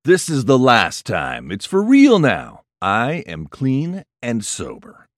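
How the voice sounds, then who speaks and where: An actor playing an excitable, boastful party man in his late fifties in an audio drama. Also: medium pitch, takes it slowly, dry recording, studio quality. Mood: earnest, defensive.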